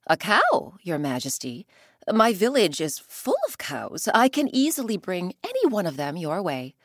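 A woman doing a clever, wise village woman from a folk tale. She speaks calmly and quietly, unhurried, with a melodic lift and fall. The sound is dry and close. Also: lowish voice, no room sound, heavily acted.

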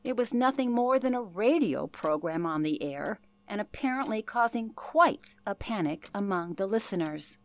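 An actress playing a former telephone operator who recalls past events in a reflective, earnest interview style. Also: female voice, mid-range voice, lively intonation, moderate pace, few pauses, dry recording, lightly acted. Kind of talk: interview-style narration in radio play.

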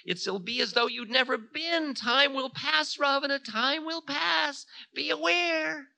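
A man's voice: extremely high pitch, a little slow, creaky, bright, forceful tone, pitched above the performer's own voice, full character voice. A man voicing Time as a cruel, indignant spirit of doom in a dramatic telling of a myth. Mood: ominous, threatening. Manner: indignant, ominous pronouncements. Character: Time personified, cruel, prim, menacing male spirit of doom. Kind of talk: dramatic mythological storytelling.

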